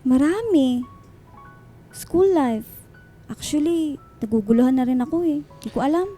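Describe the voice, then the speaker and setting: An actress playing a chatty young student who is unsure in love, in a radio drama, with a Filipino accent. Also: female voice, high-pitched, animated, measured pace, mellow, soft background music. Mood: light, chatty. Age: young adult. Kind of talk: radio drama acting with English code-switching.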